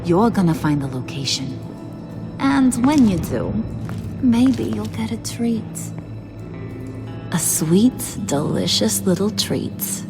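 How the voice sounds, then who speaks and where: A woman's voice: medium pitch, expressive, slow, long pauses. A woman playing a playful but menacing female gang boss in a video game, slangy, bossy and teasing. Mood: teasing menace. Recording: music behind the voice.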